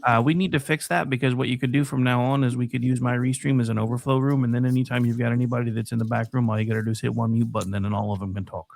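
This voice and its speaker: Man, rapid pace, gravelly fry, informal, joking, casual group chat. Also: mid-range voice, fairly even intonation, darkish tone, dry recording.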